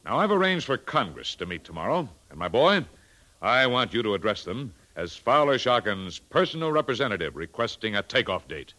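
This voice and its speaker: An actor in a 1950s radio drama playing a hearty, domineering older agency boss with a paternal, declamatory manner. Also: male voice, medium pitch, big pitch swings, brisk, pushed and bright. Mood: hearty, urgent.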